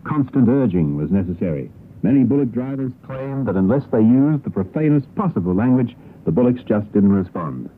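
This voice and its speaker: A man's voice: mid-range voice, lively intonation, a little fast. An Australian man presenting a radio programme, reading a scripted narration about folk songs. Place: radio broadcast. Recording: narrowband, noisy 1960s shortwave radio broadcast.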